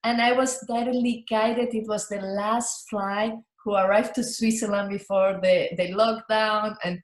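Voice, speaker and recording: A woman from Spain, a guest on an online interview, answering gratefully with soft laughs. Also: medium pitch, steady tone, a little slow. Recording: roomy video call audio.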